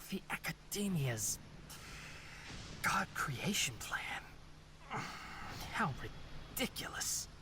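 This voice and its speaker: A woman voicing a proud, injured young man in a game, breathy and dry-humored. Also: fairly low pitch, big pitch swings, drawn-out words, long pauses, in a whisper, heavily acted. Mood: exasperated. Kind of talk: animated game voice acting.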